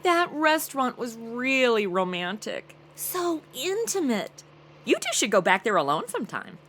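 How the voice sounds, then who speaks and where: An actress as a cheeky, clueless young female sidekick in an audio comedy. Her voice is high and bright and swoops wildly, with faint ambience behind it. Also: sing-song, a little slow, a little hiss or hum. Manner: cheeky, blithely clueless, exaggerated. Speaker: comic sidekick, star of the show. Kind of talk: comedic audio drama acting.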